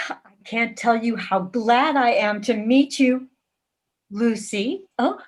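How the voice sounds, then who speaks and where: A woman's voice: fairly high pitch, slow pace. A woman playing an effusive, chatty suburban housewife at a tea party in a staged play reading.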